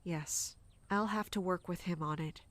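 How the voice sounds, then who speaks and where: A woman's voice: on the low side, a little slow, lightly acted. A woman playing a dutiful, guarded royal guard captain in an audio drama, speaking formally and deferentially. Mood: subdued.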